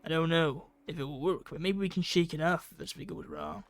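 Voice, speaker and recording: A man's voice: on the high side, sing-song, a little fast, slight background noise. A man voicing a desperate, determined student survivor in a horror visual novel, urgent and pleading.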